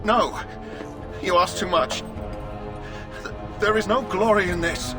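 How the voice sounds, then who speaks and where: Frightened, high-pitched pleading knight. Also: male voice, slow pace. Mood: panicked. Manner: agitated, pleading. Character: frightened, protesting male knight, desperate and pleading. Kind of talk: dramatic fantasy game voice acting. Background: loud tense game music.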